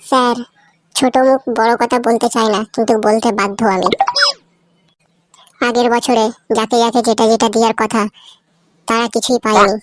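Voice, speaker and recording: A woman's voice: on the high side, fairly even intonation, normal speed, pauses often, natural voice. A woman voicing a foul-mouthed, angry male villager in a cartoon, who scolds and swears constantly. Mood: grumbling. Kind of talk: cartoon comedy voice acting. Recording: noisy homemade recording.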